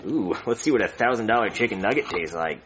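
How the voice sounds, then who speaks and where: A man acting an excitable, foul-mouthed, stubborn boy in a comedy skit, theatrical and wordy. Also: on the low side, fast talker, lo-fi sound, background noise, close to an everyday voice. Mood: gloating. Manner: theatrical, wordy, cheeky swearing.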